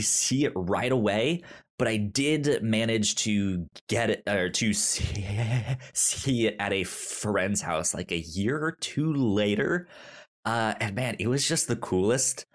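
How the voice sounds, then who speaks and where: Guy hosting a podcast, slow talker, keeps stammering and restarting, voice jumps up and down a lot. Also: lowish voice. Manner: halting, many repeated starts and stammers. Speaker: podcast host. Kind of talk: casual chat.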